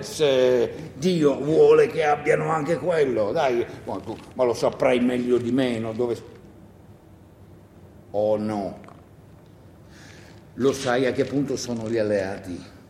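A man's voice: medium pitch, a little slow, warm tone, close to an everyday voice. An actor playing a rambling, coaxing country man in a theatrical radio monologue, hesitating and repeating himself as he urges someone on. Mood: coaxing, insistent. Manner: hesitant, repetitive, coaxing, full of asides. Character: wheedling, rambling country man urging a young partisan to hide. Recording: echoey hall, long reverb, faint noise.

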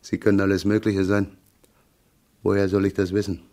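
An actor playing a lanky, cagey crook in a noir radio drama, with deadpan, clipped and probing talk. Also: male voice, low voice, normal speed. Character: tall skinny long-nosed male crook, cagey and expressionless.

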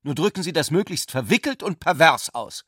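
Man playing a haughty, combative young student, raised pitch. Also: animated, brisk, a bit bright, slightly rough, dry recording, studio quality. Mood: heated, combative. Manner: declamatory, scornful, insistent. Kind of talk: radio drama acting.

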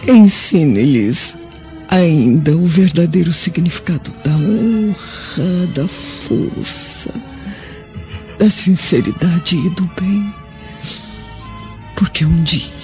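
A woman playing a dying, tender elderly noblewoman in a Brazilian radio drama, speaking solemnly and haltingly. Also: fairly low pitch, dark tone. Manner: slow, solemn, halting, with whispered weak phrases. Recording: muffled recording with faint music.

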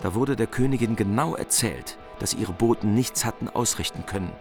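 A man's voice: lowish voice, normal speed, lightly acted. A man delivering scripted literary narration in a radio play. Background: music.

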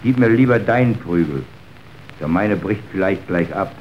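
An actor playing a bitter, vengeful injured factory worker in a radio drama, gruff and curt in manner. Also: male voice, sits low, expressive, normal speed. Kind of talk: German radio drama acting. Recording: dull, noisy old radio recording.

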